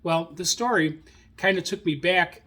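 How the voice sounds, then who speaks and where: A middle-aged or older American man hosting an informal radio hobby monologue, rambling haltingly and repeating phrases. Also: sits high, a bit bright. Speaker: radio hobby show host.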